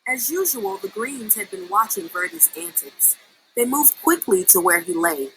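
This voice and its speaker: A woman reading a children's story aloud in an expressive storytelling style. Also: medium pitch, normal speed, slight background noise, poor audio. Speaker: story reader.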